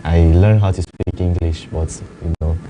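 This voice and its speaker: A young man, a native Chinese speaker, answering interview questions hesitantly and softly. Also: low-pitched, fairly even intonation, moderate pace, muffled recording, background noise. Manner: hesitant, soft-spoken, laughs shyly. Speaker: esports player guest.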